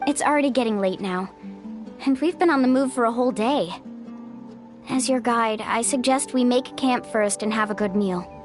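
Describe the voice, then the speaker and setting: Caring young guide, voiced by a woman, high pitch, animated, game soundtrack. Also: moderate pace, lightly acted. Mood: reassuring. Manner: warm, reassuring, leader-like. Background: game music.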